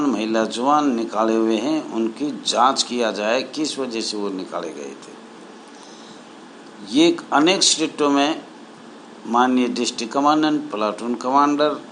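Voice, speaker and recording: A man speaking as an association spokesman, reading out a formal numbered appeal in a halting, deliberate, earnest way. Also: mid-range voice, fairly bright tone, low-quality recording. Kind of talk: formal appeal, reading numbered points aloud with added commentary. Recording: noticeable background noise.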